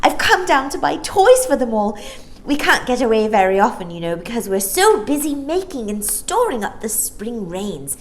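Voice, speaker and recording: Woman voicing an excitable, high-pitched little girl. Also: moderate pace, a little hiss or hum. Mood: delighted. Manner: breathless, exclaiming, gushing delight. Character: bright, excitable little star-child girl, delighted and bubbly. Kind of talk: children's storybook character voice.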